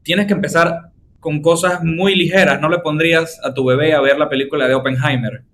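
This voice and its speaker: A man's voice: on the high side, fairly even intonation, brisk, fairly bright tone, a little hiss or hum. A man with a Venezuelan accent, hosting a casual podcast chat and explaining his ideas with long everyday examples. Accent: Venezuelan Spanish. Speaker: podcast host.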